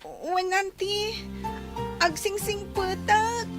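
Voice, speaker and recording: A woman's voice: very high-pitched, fairly even intonation, extremely slow, music in the background, full character voice. A woman voicing a meek, mistreated young schoolgirl in a radio drama, hesitant and pleading. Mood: timid, hurt. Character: timid, mistreated grade-four girl, pleading and obedient.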